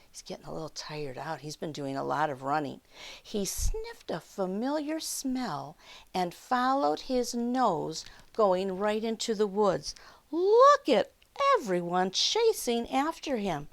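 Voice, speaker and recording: A woman reading a picture book aloud to young children, expressive and dramatic, with questions and hushed asides to the listeners. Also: medium pitch, creaky. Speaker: children's storyteller.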